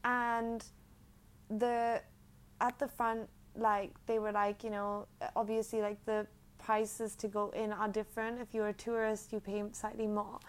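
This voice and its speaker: A young woman from India co-hosting a podcast, speaking in a relaxed, conversational way. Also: medium pitch, unhurried. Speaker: podcast co-host. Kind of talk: casual chat.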